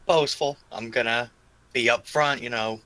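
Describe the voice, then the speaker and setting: A man's voice: medium pitch, fairly even intonation, unhurried, pushed and bright, no echo. A man playing a tabletop roleplaying game, casually saying what his character does.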